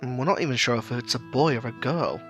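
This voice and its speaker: Audio-story lead: curious, easygoing young adventurer with a bright voice, played by a man, music behind. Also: mid-range voice, a little fast, lightly acted. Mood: unsure. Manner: chuckling, easygoing.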